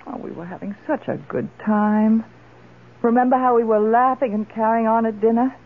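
A woman's voice: a little high, steady tone, normal speed, lightly acted. An actress playing an elderly, tipsy woman, wistful and fond, in theatrical 1970s radio drama style. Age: older. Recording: muffled old radio broadcast.

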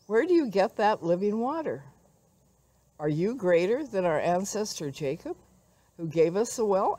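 An older woman giving a devotional talk and scripture reading in a measured, reflective way. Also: medium pitch, darkish tone, no room sound. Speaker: devotional speaker. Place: outdoors among trees.

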